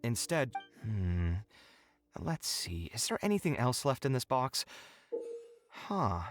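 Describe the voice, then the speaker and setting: An earnest young artist in a video game, thinks aloud in a theatrical way. His pitch moves freely between doubt and emphasis, with light music behind him. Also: takes it slowly. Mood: musing, unsure. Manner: expressive, musing aloud, theatrical sighs. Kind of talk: scripted video game voice acting.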